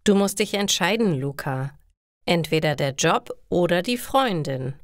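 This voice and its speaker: A woman's voice: lowish voice, animated, measured pace, no room sound. A bossy, scheming workmate from a storybook, a man as read by a woman, with swooping, emphatic phrases. He talks like someone used to giving orders, and the sound is close and very dry. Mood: stern. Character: scheming, scornful male coworker, bossy but chummy. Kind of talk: learner storybook dialogue.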